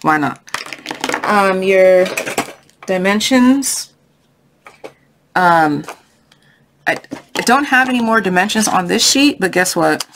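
A woman hosting a casual craft tutorial, thinking aloud in a chatty, easygoing, unscripted way. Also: lowish voice. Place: indoors at a craft table. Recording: noisy home recording with clicks.

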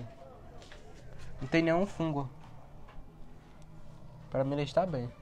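A young man with a cold and a hoarse voice, chatting casually as he films his plants outdoors on a handheld phone. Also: mid-range voice, background noise. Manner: chatty, points things out to viewers. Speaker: plant vlogger. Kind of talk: casual walkthrough vlog. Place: outdoor backyard garden area. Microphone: handheld phone camera.

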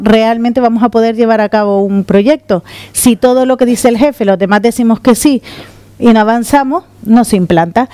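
Middle-aged woman from the Canaries, conference speaker, lively and gesturing, warm smooth voice, dry sound. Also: fairly high pitch, no echo. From Canary Islands. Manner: lively, gesturing while walking on stage. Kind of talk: conference talk. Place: conference room with audience. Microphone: handheld microphone.

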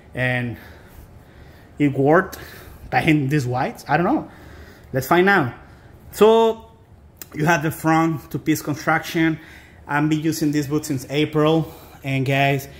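A man with a Mexican American accent giving a casual, halting, informal product review as he talks to viewers. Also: fairly high pitch, steady tone. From South Texas. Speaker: boot reviewer.

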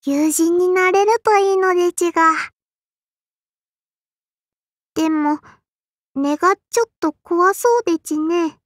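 A woman's voice: very high voice, fairly even intonation, normal speed, no room sound, clean studio sound. A woman voicing a small, strict and prim sparrow-spirit girl in an anime game, with a childlike lisp and polite but scolding speech. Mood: softly curious. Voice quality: childlike, lisping. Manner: polite but scolding, quirky verbal tic. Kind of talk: anime game character voice lines.

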